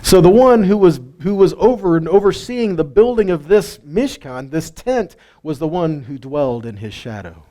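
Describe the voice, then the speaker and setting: A middle-aged man with a drawl walks through a Bible passage in a relaxed, folksy manner. He keeps asking his listeners questions and chuckles between points. His voice sits a little higher than most men's. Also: moderate pace, few pauses. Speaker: Bible teacher. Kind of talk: casual Bible teaching.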